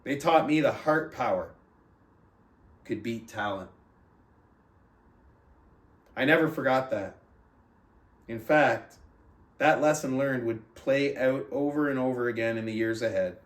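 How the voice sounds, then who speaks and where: A man's voice: medium pitch, measured pace, pauses often. Man coaching in an echoey room, distant mic. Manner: addresses listeners with rhetorical questions. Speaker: podcast host and book club coach. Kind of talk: book read-aloud and motivational coaching talk. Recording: roomy echo, distant from the mic.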